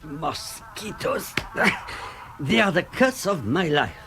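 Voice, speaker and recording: An actor playing a puzzled police inspector in a radio drama, husky, formal and deliberate in his questioning. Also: fairly high pitch, big pitch swings, crowd noise, full character voice. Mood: puzzled, curious.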